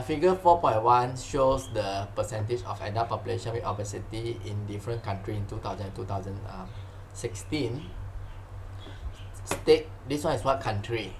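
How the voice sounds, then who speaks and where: A man's voice: fairly low pitch, slight background noise. A man with a Malaysian accent teaching science informally, repeating phrases and tagging sentences with "lah" and "huh". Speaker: science tutor. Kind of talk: informal exam-question lesson.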